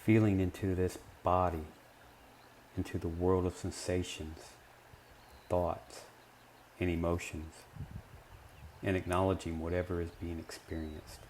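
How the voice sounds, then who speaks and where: A man leading a guided meditation, speaking gently and in measured short phrases with long pauses between them. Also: low-pitched, level tone, slight background noise.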